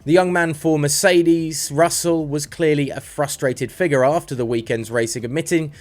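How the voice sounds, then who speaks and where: A man's voice: a little high, steady tone, normal speed, darkish tone, faint music behind. A man presenting motorsport news as a scripted narration.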